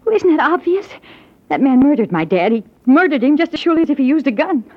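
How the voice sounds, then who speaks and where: Insistent, grave young client, raised pitch, vintage radio detective drama, dull recording. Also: female voice, sing-song, fast talker. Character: determined, bereaved daughter hiring a detective. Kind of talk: 1950s radio drama acting. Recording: muffled 1950s radio recording.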